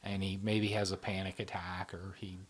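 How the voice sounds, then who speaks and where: A man who founded a channel, explaining in a relaxed casual conversation, with many fillers and restarts. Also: low-pitched, normal speed, slightly rough.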